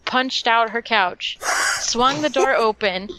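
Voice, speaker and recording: A woman's voice: on the high side, slow pace, very noisy. A woman co-hosting a podcast, chatting casually and reading a story aloud. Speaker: podcast co-host.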